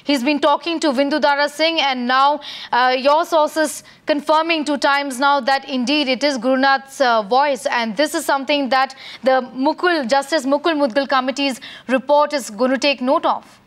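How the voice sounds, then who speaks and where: A woman's voice: sits high, steady tone, normal speed, hardly any pauses. A woman with an Indian accent anchoring breaking news from a TV studio.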